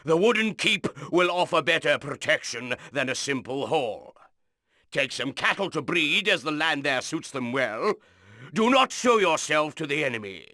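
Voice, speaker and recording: An actor playing a stern, vengeful military commander who gives formal mission briefings in a medieval game. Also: male voice, on the high side, sharp tone, no echo, clean studio sound, heavily acted.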